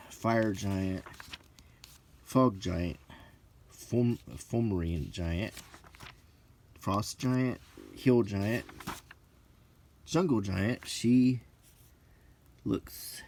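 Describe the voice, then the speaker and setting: A middle-aged man talks in a fairly low voice at a very unhurried pace. He gives casual commentary in short, halting phrases, musing aloud as he browses. There is some background noise with small clicks. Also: darkish tone. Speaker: host of a hobby channel. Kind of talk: casual unscripted commentary while leafing through a book.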